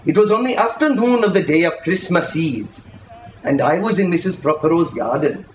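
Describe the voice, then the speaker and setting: Theatrical male storyteller, higher-pitched, echoey room. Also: animated, moderate pace. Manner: theatrical, savouring the phrases. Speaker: reader, storyteller. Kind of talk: expressive literary recitation. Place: live reading in an indoor shop. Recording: echoey room with faint background noise.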